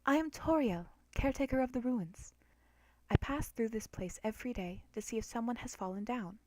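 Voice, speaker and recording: A woman voicing a kind, motherly horned creature in a storybook reading, with a warm, soft, gentle manner. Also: fairly high pitch, normal speed, close to an everyday voice, low-quality recording. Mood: gentle, reassuring.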